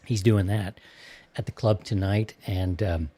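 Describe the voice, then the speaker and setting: A man's voice: low voice, fairly even intonation, unhurried, dark tone. A man in his early fifties hosting a hobby radio show in a casual, candid and chatty way. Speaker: host of a ham radio show. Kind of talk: casual podcast chat.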